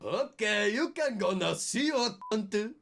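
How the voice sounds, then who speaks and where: A gushing, theatrical goth lady teacher, acted by a man for a comic fan fiction reading. The voice is high, swooping and slow, with unhurried drama in every phrase. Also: animated, creaky, fairly bright tone, faint music behind, heavily acted.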